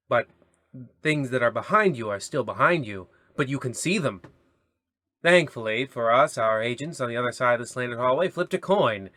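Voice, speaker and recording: A man narrating an old-radio style horror parody as its host, mock-solemn and deadpan, with rambling asides. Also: medium pitch, no echo, clean studio sound. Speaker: narrator and host of a comedy radio play series.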